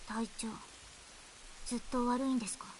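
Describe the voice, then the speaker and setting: A woman's voice: a little high, flat delivery, quick pace, a little mellow, close to an everyday voice, rough recording. A woman voicing a blunt, aloof young girl in a Japanese visual novel, curtly polite, with hissy streamed game audio. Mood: cool, blunt. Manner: curt, casually polite speech.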